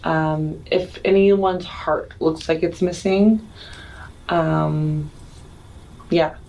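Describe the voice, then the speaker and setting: A woman plays a tabletop roleplaying game in a fairly low voice. She talks very slowly and matter-of-factly, with some background noise around her. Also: fairly even intonation, creaky, warm tone, poor audio. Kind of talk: casual in-character roleplay.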